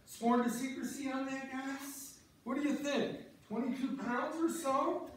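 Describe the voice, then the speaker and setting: A man's voice: very high voice, fairly even intonation, a little slow. A man playing a boastful, jolly beer-loving fisherman in an amateur stage play reading. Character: boastful, jolly fisherman fond of beer and big-fish tales. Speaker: amateur reader's theater performer. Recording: echoey church hall from far off, faint noise.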